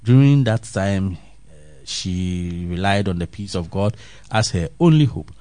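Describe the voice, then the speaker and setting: A man's voice: low voice, expressive, measured pace, mellow, no echo. A man reading a faith news story aloud, then musing on it in an earnest, reflective way with restarts and fillers.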